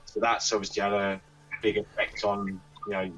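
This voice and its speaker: A man's voice: lowish voice, little pitch movement, normal speed, a bit bright, soft background music. A British man being interviewed, talking casually and a little hesitantly over a remote call. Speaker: band member interviewee. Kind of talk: casual chat. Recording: slightly dull remote call audio.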